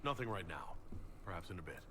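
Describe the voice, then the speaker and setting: A reserved male companion in a fantasy game. His voice is deep and restrained, yet moves in pitch. It sounds quiet and echoey, with game ambience around it. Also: big pitch swings, fast, heavily acted. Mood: reserved, gentle. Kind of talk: video game voice acting.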